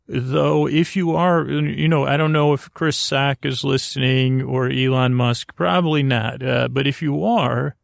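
A man hosting a sleep podcast in a rambling, halting monologue that stammers and wanders off on tangents, in a gravelly voice. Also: medium pitch, steady tone, no echo, clean studio sound. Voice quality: creaky, gravelly. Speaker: podcast host. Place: closet, close dry recording.

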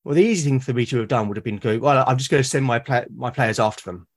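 A man with a British accent, a podcast guest talking casually and at length, often pausing to rephrase his thoughts. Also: medium pitch. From Britain. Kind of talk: casual conversation about solo roleplaying.

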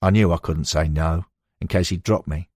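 Low-pitched man reading an audiobook. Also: steady tone, moderate pace, a little mellow, no room sound, clean studio sound. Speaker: audiobook narrator. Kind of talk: scripted narration of a football biography.

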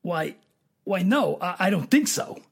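A man playing a dazed, plainspoken tough guy in a radio drama, with a creaky, raspy voice and halting, ungrammatical speech. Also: high voice, big pitch swings, full character voice. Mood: confused, defensive.

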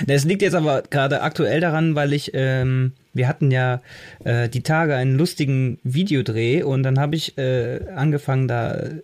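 A man with a medium-pitched voice chatting casually as a podcast co-host. His manner is amused and self-deprecating. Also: a little mellow. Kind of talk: casual chat.

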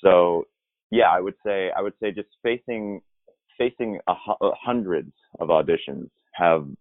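A man who performs in musical theater answers interview questions thoughtfully, rambling a little and often restarting his sentences in a low voice. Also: unhurried, dry recording. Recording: dry, tinny phone-like line.

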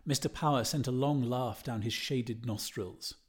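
A man's voice: medium pitch, normal speed, husky. A man reading a literary novel aloud as a scripted audiobook narration. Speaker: audiobook reader.

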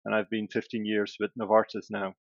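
A man from Belgium, a guest executive, giving thoughtful, explanatory interview answers with frequent pauses and filler sounds. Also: on the low side, monotone, vocal fry, muffled recording.

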